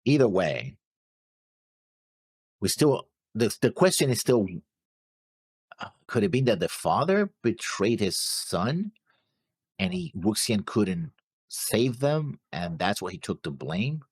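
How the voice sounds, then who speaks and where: A man's voice: lowish voice, big pitch swings, slow, pauses often, breathy edge, no echo. A man co-hosting a reaction show, casually working through theories, insistent but often searching for words. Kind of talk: casual theorizing about an animated series.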